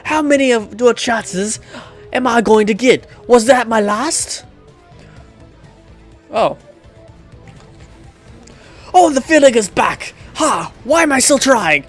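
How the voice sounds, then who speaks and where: Man acting out an anguished, high-strung male pro gamer. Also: lively intonation, slow, long pauses, faint music behind, heavily acted. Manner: dramatic, declaiming. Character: anguished young male pro gamer, intense and self-doubting. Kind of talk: visual novel character reading.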